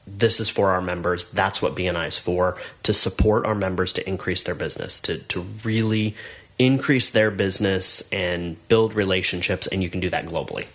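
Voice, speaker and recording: Middle-aged man, somewhat low voice, earnest, encouraging podcast co-host. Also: normal speed. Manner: earnest, encouraging, with frequent restarts. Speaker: podcast co-host, business network trainer. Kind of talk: casual podcast chat.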